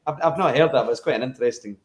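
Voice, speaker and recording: A man's voice: mid-range voice, racing. A man, a distillery co-founder, chatting in an easygoing, rambling way. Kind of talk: casual chat. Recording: echoey room.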